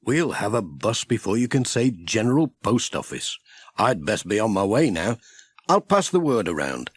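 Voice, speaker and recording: Chirpy village postman, played by a male storybook reader: friendly, practical, animated, a little husky. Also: on the low side, normal speed, no echo. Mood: bright, eager. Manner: chirpy, practical, full of ideas. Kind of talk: children's storybook dialogue.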